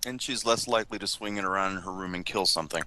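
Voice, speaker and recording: A man's voice: sits low, quick pace, pushed and bright. A man talking casually as a podcast panelist. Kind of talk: casual discussion.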